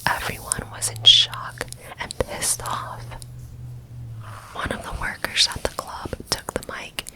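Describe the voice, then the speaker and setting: A woman telling a story in a soft, unvoiced ASMR whisper, close to the microphone. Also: slow, poor audio.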